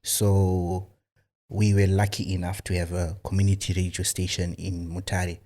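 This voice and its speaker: A man's voice: sits low, flat delivery, normal speed, mellow. A man from Zimbabwe, a filmmaker guest in a studio interview, talking casually with many fillers and restarts.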